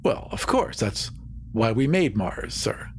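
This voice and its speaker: A man playing a smooth-talking, assertive colony promoter in improvised roleplay, earnest and persuasive, pausing for emphasis. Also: on the low side, big pitch swings, slight background noise. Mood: confident, deferential.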